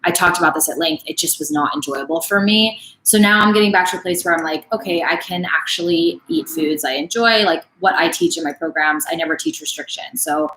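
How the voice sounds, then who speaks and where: A woman's voice: on the low side, expressive, a little fast, few pauses, bright, forceful tone. Chatty young woman, echoey room. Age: late 20s. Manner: casual, chatty, frequent "like" and "um" fillers. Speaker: fitness coach. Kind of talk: live Q&A answering viewer questions.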